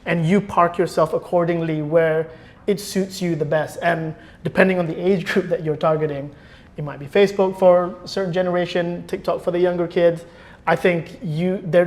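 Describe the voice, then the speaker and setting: A man speaking as a podcast guest, explaining his opinions in a thoughtful, conversational way and often restarting phrases. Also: fairly high pitch. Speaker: podcast guest, former radio producer. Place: indoor podcast recording.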